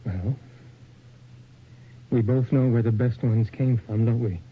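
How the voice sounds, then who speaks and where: A tinny old radio drama recording with faint noise. A man acting as a suspicious assistant speaks in a middle-pitched voice, pointed and questioning. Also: takes it slowly, close to an everyday voice. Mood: probing, urgent. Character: probing, suspicious male assistant to a photographer.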